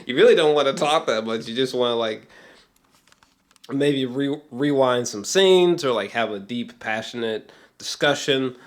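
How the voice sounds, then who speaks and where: A man chatting casually as an amateur film reviewer, geeky and gushing, swearing freely and often stumbling back over his words. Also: mid-range voice. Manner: halting, repeats himself, often breaks into chuckles.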